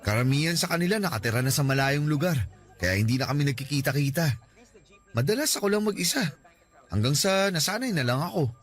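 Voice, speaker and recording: Shy earnest young man, radio drama, slightly raised pitch, soft-spoken, music behind. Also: lively intonation, fast. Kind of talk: classic radio-drama acting.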